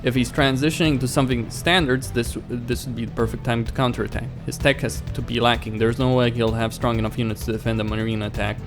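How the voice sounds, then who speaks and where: A man narrates a visual novel as a let's play host. His pitch sits in an ordinary male range. He reads aloud with occasional stumbles, and music plays clearly behind him. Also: steady tone, quick pace, few pauses. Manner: stumbles over words while reading. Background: background music.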